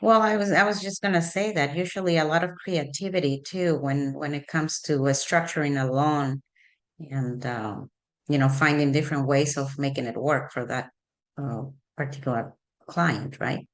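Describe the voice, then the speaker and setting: A woman with a notably low-pitched voice hosts an interview over a call. She speaks in a friendly, chatty way, often pausing on uhs and ums as she frames her questions. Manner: friendly, chatty, hesitant fillers. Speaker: podcast host, real estate broker. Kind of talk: conversational interview hosting.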